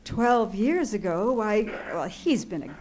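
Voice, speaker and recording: A woman's voice: medium pitch, big pitch swings, darkish tone. Lady doing a nervy demanding sick wife, old radio play style, flustered, voice swoops around a lot. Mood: hesitant, uneasy. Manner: flustered, halting, easily exasperated. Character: fretful, demanding, anxious bedridden invalid wife. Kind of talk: old-time radio thriller acting. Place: live theatre stage radio play.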